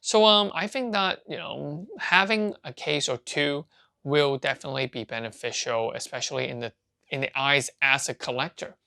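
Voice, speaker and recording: Card-channel host, male, raised in Canada and Hong Kong: a halting, repetitive casual talker with a bit of fry. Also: mid-range voice, sharp tone. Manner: rambling, halting, many restarts and fillers. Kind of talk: casual talk to camera.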